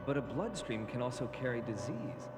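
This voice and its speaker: An actor playing an earnest, brooding young masked crime fighter in an animated action series, recorded with music under his voice. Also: medium pitch, normal speed, lightly acted.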